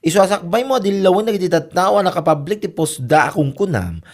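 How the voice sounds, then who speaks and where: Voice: mid-range voice, animated, quick pace, natural voice. Gossipy Ilocano older sibling acted out, widely swinging intonation, teasing, exclamatory, chatty, radio comedy drama. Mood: placating, explaining. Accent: Filipino, Ilocano.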